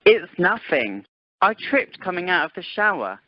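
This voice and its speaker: A woman's voice: on the low side, very animated, normal speed, dry recording. A woman playing a sheepish, evasive male tour rep in an amateur radio comedy, over a dull conference line.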